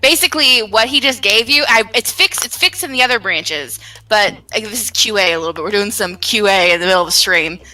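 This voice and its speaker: A woman's voice: medium pitch, expressive, fairly quick, hardly any pauses, sharp tone, distant mic, rough recording. A woman chatting casually on a group game stream as the game's developer.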